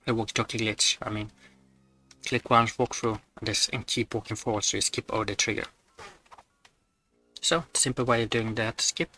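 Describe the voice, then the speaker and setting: A man's voice: fairly low pitch, bright, forceful tone, a little hiss or hum, rough recording. A man giving matter-of-fact, step-by-step commentary on video game glitches over his gameplay. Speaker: gamer presenting glitches.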